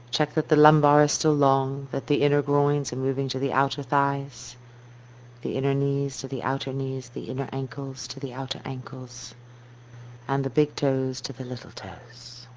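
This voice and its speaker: A dull, slightly noisy recording with a low hum carries a woman teaching yoga. She guides a meditation softly and calmly, in measured, unhurried phrases. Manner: slow, soft, calming delivery with measured phrases.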